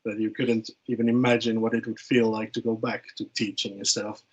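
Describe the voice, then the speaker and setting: A man's voice: on the low side, flat delivery, brisk, slightly rough, poor audio. A man, speaking informally in a webinar discussion and often repeating words as he thinks. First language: Finnish. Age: late 20s.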